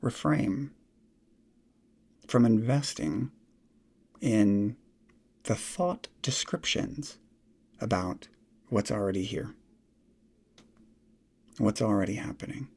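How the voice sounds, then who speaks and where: A man leads a guided meditation in a low voice with a gravelly, husky grain. He speaks very slowly, in short, halting phrases separated by long pauses. His tone is soft and often sinks into a near whisper. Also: expressive. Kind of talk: guided meditation, slow instruction.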